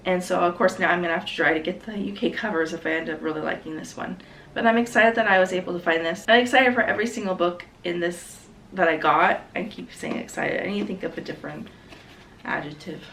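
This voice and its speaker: Book vlogger, female, voice still rough from a cold, chatty and eager, mic a bit distant, faint hiss. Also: lowish voice, quick pace, a bit bright. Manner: chatty, enthusiastic, self-correcting. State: getting over a cold. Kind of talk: casual book haul chat. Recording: slightly distant mic, faint background noise.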